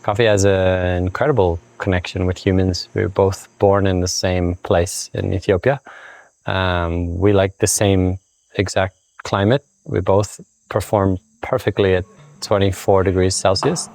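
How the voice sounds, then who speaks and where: Low-voiced American man, reflective. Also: level tone, unhurried, gravelly, darkish tone, low-quality recording. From United States (born in Brazil, raised in the US). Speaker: coffee farmer, interviewee. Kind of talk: documentary interview, explanatory storytelling.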